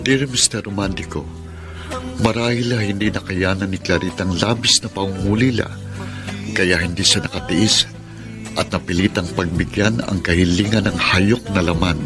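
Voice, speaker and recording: A male actor plays a tender, melancholy admirer narrating his letter in a radio drama. His voice is low, his phrasing earnest and poetic. Music plays clearly beneath him. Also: fairly even intonation, normal speed, lightly acted. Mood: sorrowful, tender.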